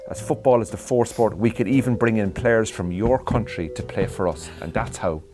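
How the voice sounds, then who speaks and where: A man with a low voice makes an emphatic, argumentative case on a comedy panel show. Music can be heard clearly behind him. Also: moderate pace. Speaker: panel guest.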